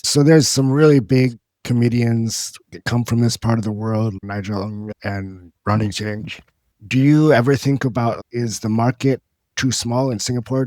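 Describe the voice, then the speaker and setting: A man's voice: fairly low pitch, darkish tone, dry recording. A man hosting a podcast, asking his guest direct, upbeat questions. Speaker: podcast host. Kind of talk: interviewer questions.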